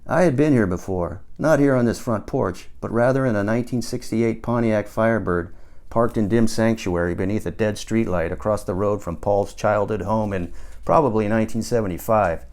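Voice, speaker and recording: A man in his sixties narrates a first-person book as its author. His voice sits on the low side, and he reads in the manner of an audiobook. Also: moderate pace, darkish tone. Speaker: author reading own book.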